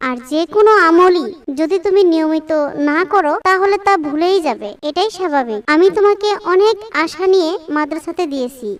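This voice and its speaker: A woman voicing a gentle, devout mother in a dubbed cartoon, speaking slowly and tenderly as she teaches her son. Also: extremely high pitch, far from the mic. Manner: slow, tender, instructive.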